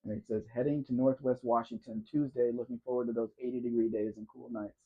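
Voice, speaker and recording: A man's voice: medium pitch, fairly even intonation, fast talker, husky. Guy chatting on a livestream, wanders around while he talks, kinda muffled audio. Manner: chatty, answers chat by name, wanders while talking. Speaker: livestream host. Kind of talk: casual live Q&A chat.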